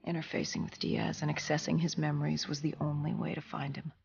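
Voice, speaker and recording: An actor playing a grim, battle-worn soldier in a video game, recounting an apocalypse in a grave, halting, deliberate way. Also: mid-range voice, level tone, vocal fry, darkish tone, highs cut off, a little hiss or hum. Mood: grave, tense. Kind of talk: dramatic cinematic narration.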